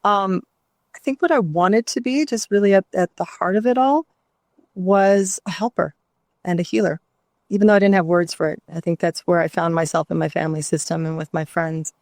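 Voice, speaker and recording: A middle-aged woman from New Mexico, a somatic therapist talking reflectively in an interview, with many pauses and ums. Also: fairly low pitch, darkish tone, no echo, clean studio sound. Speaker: guest, somatic therapist and author. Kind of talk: candid interview conversation.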